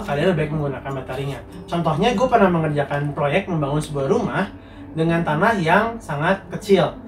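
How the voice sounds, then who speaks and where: A young man with a blocked, nasal voice from a cold walks through viewer questions on tiles and bricks in a relaxed, slangy way. His voice sits a touch high, recorded from a distance in an echoing room with faint music underneath. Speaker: contractor answering viewer questions. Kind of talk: casual Q&A monologue.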